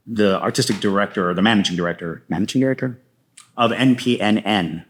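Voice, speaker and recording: A man talking casually as a playwright guest in an interview, enthusiastic, with a rambling, halting delivery. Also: lowish voice, slight background noise.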